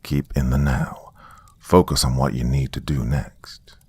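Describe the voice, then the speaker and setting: A man's voice: very deep voice, fairly even intonation, measured pace, darkish tone, breathy edge, heavily acted. A man voicing a stern, commanding male saint who guides a mortal in a dramatic story.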